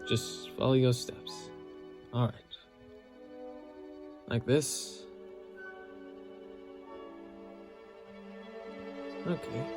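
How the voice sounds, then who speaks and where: A man playing a loyal young knight in love with his princess, speaking gently and earnestly with halting pauses in a romantic audio roleplay. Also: mid-range voice, natural voice. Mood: shy, tender. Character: loyal young knight, the princess's tender childhood-friend lover. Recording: orchestral music behind the voice.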